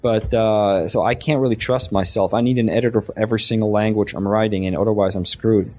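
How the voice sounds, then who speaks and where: Low-voiced man, native Swiss German speaker. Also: fairly even intonation, fast, darkish tone. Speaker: webcomic creator, panelist. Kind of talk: casual panel discussion.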